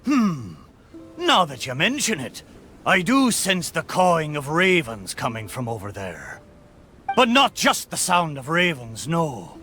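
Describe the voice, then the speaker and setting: Animated, blustering boat. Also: male voice, a little high, full character voice. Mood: musing, hearty. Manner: blustering, drawn-out exclamations, pirate talk. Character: talking pirate boat, hearty, excitable old seafarer. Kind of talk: theatrical video game character acting.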